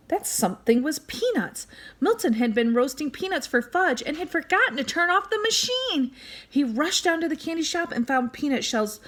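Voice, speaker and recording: A woman reading a children's book aloud as an expressive storyteller, speaking directly to young listeners. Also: fairly high pitch. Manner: animated, addresses young listeners with questions and asides.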